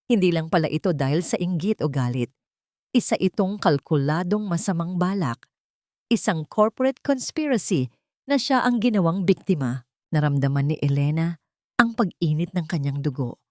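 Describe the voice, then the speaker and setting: Lower-voiced woman narrating a dramatic story. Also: normal speed, a little mellow, no room sound, studio quality.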